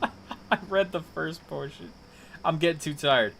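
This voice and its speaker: A man's voice: fairly high pitch, unhurried, vocal fry, a bit bright, slight background noise. A man chatting casually and thinking aloud as he streams a video game, in a playful manner.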